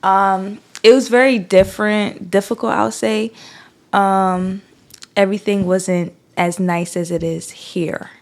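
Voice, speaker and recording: A woman's voice: medium pitch, fairly even intonation, slow. A young woman from Jamaica with a Jamaican accent, chatting as a podcast guest in a loose style full of fillers. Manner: laid-back, many fillers like "like" and "you feel me". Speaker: podcast guest, content creator. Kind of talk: casual chat.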